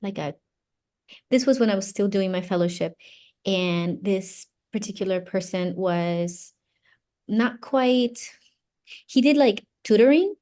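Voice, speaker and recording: Female guest from Brazil, native Portuguese speaker, medium pitch, halting conversational answers. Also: warm tone. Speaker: interview guest, psychology doctoral graduate. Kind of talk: casual interview answers.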